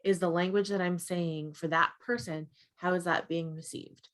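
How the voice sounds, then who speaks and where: A woman's voice: lowish voice, steady tone, moderate pace, a bit bright. A young adult woman, a guest expert in a webinar conversation, explaining earnestly and often tagging her points with "right?". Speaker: guest expert on mental health.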